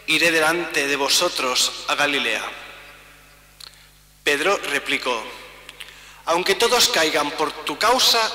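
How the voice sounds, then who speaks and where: A middle-aged man, a priest, reading a long Gospel passage aloud during Mass in a measured, solemn way, pausing between phrases. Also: fairly high pitch, pushed and bright. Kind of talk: slow liturgical Gospel reading. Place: church sanctuary, large indoor space. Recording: roomy church reverb, mic at a distance.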